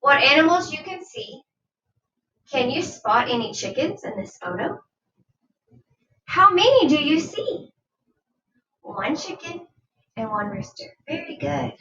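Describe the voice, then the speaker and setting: Female primary teacher for children's read-aloud: bright, unhurried, instructive delivery, slightly distant from the mic. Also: high voice, big pitch swings. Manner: deliberate, instructive, asks listeners questions. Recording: dull, roomy sound, distant from mic.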